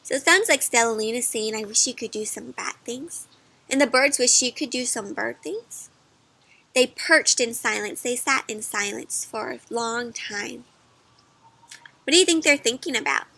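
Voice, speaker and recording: A woman reading a picture book aloud to children, expressively, pausing to ask the listeners questions. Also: a little high, creaky, bright, forceful tone. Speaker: read-aloud storyteller for children.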